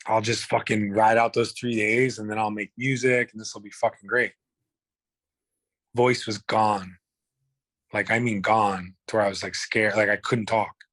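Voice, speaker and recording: Man, hoarse, lowish voice, dry close sound, blunt and rambling. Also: steady tone, measured pace, fairly bright tone, dry recording. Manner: blunt, profane, rambling with restarts. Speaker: guest. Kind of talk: candid personal storytelling.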